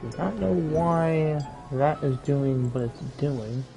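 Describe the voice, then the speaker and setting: A man's voice: a little high, slow, gravelly, faint music behind, rough recording. A man giving casual let's play commentary, joking and swearing as he reacts to the game. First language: not Russian. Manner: joking, profane, excitable. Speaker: gaming streamer.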